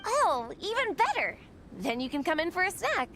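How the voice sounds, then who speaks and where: A woman's voice: very high voice, sing-song, normal speed, bright, forceful tone, a little hiss or hum, heavily acted. A woman voicing an eager, helpful young girl in a video game, with game music behind her. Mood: friendly, keen. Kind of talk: video game dialogue and combat barks.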